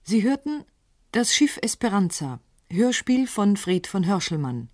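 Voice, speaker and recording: Female announcer, 1950s radio. Formal scripted delivery, medium pitch, dry studio sound. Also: sing-song, a little slow, dry recording.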